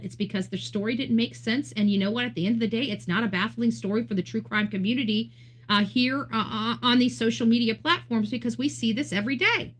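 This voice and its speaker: High-voiced, emphatic American woman. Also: fast talker. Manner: emphatic, blunt, lecturing. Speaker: online true-crime commentator. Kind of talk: unscripted opinionated monologue commentary.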